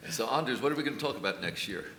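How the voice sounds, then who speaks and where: A man moderating a business conference panel, brisk and wry. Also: medium pitch, expressive, bright, forceful tone. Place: conference hall. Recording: echoey hall with long reverb, faint room noise.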